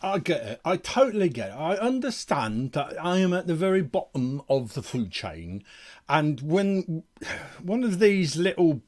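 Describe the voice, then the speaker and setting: Man playing an exasperated, grumbling monster minder, high-pitched. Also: big pitch swings, unhurried, a little mellow. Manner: grumbling, aggrieved, sarcastic. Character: exasperated put-upon lowly underling stuck cleaning up after monsters. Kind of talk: comic monologue in a creature-lore video.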